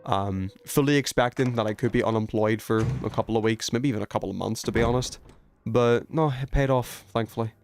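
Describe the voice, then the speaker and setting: A man's voice: mid-range voice, fairly quick, soft background music. A man live streaming, chatting casually and thinking aloud as he plays a video game. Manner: informal, thinking aloud while working out puzzles. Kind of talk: casual chat while playing a video game.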